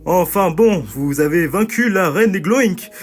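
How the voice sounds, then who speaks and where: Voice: mid-range voice, lively intonation, a little slow, close to an everyday voice. An actor as a bombastic male cartoon ringmaster of a digital circus. He speaks in a grandiose showman's manner, theatrical in every phrase. Music plays behind him. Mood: grandly apologetic. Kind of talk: animated series dub.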